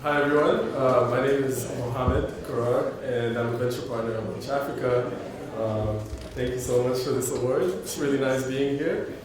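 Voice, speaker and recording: A man's voice: mid-range voice, steady tone, moderate pace, few pauses, noisy background. A man from Sudan whose first language is Arabic, giving a polite, slightly hesitant acceptance speech. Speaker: award recipient, venture partner. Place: large event hall with audience. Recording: echoey hall, distant mic, crowd.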